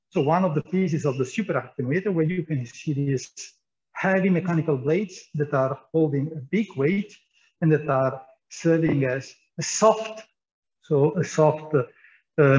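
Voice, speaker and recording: A man's voice: on the high side, darkish tone, lo-fi sound. A man guiding a tour through a large indoor lab, explaining as he walks, with many fillers and repeated words. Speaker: tour guide at a physics lab.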